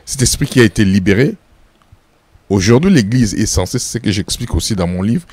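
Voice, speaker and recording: A man from Congo speaks as a pastor, firm and insistent. His voice sits low and he hammers each point with repetition and rhetorical questions. The sound is dry and close. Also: a little mellow, dry recording. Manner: emphatic, repeats phrases, asks rhetorical questions. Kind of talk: preaching-style interview answers.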